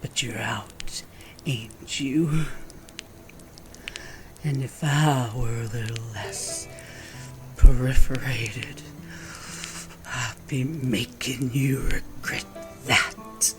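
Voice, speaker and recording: A man's voice: mid-range voice, fairly even intonation, very slow, pauses often, music in the background. A man playing a flirtatious, roguish werewolf bounty hunter in a Western audio roleplay, with a teasing, theatrical Southern American drawl. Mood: wounded, vengeful.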